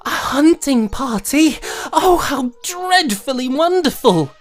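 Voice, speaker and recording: An eager, dreamy young prince played by a woman speaks in courtly, exclaiming phrases. The delivery is slow and very animated, with music playing softly under this fantasy audio drama. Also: high voice, full character voice. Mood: excited, eager. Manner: breathless, exclaiming, courtly old-fashioned phrasing. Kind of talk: fan audio drama acting.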